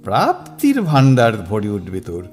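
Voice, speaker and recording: An actor playing a worldly older mentor in a Bengali radio drama, speaking with a Bengali accent and a slow, dramatic delivery. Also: male voice, on the low side, huge pitch swings, over music. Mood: measured. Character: worldly older man, storyteller, mysterious. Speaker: older male mentor figure called Miska.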